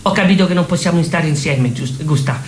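A man's voice: fairly high pitch, fast talker, slight background noise, lightly acted. A man playing a stubborn, self-absorbed girlfriend with a Roman accent in a comic stage sketch, insistent and accusing. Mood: lecturing, hurt. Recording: echoey live stage room.

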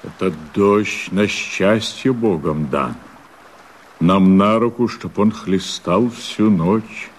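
An actor playing an earthy, wild-hearted peasant rebel from the steppe in a radio verse drama, speaking in slow, weighty, poetic declamation with a husky voice. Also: male voice, on the low side, slight background noise. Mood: sly, eager.

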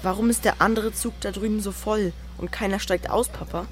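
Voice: mid-range voice, steady tone, brisk, close to an everyday voice. A performer playing an anxious, inquisitive young boy in a German radio drama, asking naive, insistent questions. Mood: puzzled, inquisitive. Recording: loud music and sound effects behind.

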